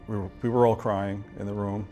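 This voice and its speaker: A man as an interview guest recounting a personal ordeal in a halting, restrained way. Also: fairly low pitch, level tone, warm tone, soft background music.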